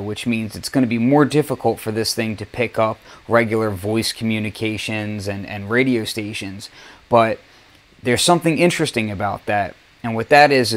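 A man presenting a music gear demo in an informal, enthusiastic way, speaking casually from a basement studio. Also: lowish voice. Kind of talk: casual product demonstration.

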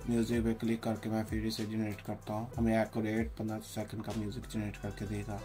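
A man narrating an unscripted software tutorial, explaining plainly step by step. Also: on the low side, monotone, fast. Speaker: tutorial host. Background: background music.

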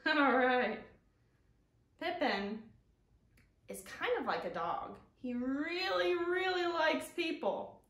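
Woman in her thirties, children's storytime host, very animated pitch, unhurried, sing-song delivery. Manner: sing-song, drawn-out, gentle child-directed talk. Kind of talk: children's storytime, reading and chatting to young viewers. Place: living room, indoors, on a couch. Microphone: camera a few feet away. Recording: roomy echo from camera distance.

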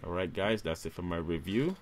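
A man with a very low-pitched voice walks viewers through a phone unboxing in a relaxed, upbeat tone. He calls his audience "guys" and speaks close to the mic. A faint hum sits under his voice. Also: brisk. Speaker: tech reviewer. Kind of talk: casual unboxing voice-over.

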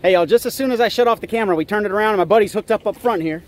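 A man's voice: high voice, racing. A middle-aged American man giving casual, folksy running commentary as host of a fishing vlog. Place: outdoors on a small boat on open water. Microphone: handheld camera or phone. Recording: noisy outdoor boat and water sound.

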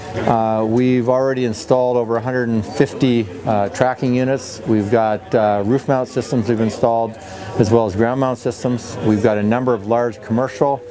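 A man in his thirties from Ontario, a solar company co-owner, answering interview questions in a plain-spoken, steady way with some hesitations, in a small wood-panelled office. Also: medium pitch, moderate pace, music in the background. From Ontario, Canada.

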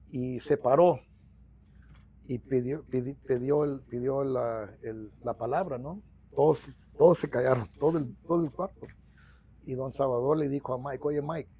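Gravelly Mexican-American man telling stories. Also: mid-range voice, dull audio. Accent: US Spanish, Mexican-American. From United States. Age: middle-aged. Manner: mixes English into Spanish, many restarts, animated hand gestures. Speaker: union organizer guest. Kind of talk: storytelling interview. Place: small office studio. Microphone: lapel mic.

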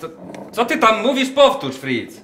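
Obsessive dreamy scientist, radio play, halting and rapt, slow pace, fairly high voice. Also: male voice, sing-song, fairly bright tone, heavily acted. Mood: self-satisfied. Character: dreamy, bird-obsessed Nazi scientist on guard. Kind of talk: radio drama acting. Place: live performance inside a wooden barn. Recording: echoey barn, some background noise.

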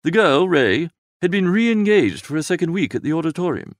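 High-pitched, animated male storyteller. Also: brisk, dry recording, studio quality. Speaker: audiobook narrator. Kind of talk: expressive first-person literary narration.

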